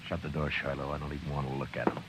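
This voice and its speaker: Deep-voiced, grim western marshal. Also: male voice, level tone, racing. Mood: stern. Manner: terse, commanding. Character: grim, hot-tempered Old West marshal. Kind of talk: 1950s radio western acting. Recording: muffled, noisy old radio recording.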